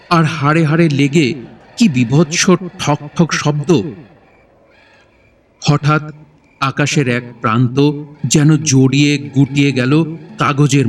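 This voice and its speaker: A man narrating a horror audio drama in a dramatic, suspenseful style with deliberate phrasing. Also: medium pitch, distant mic.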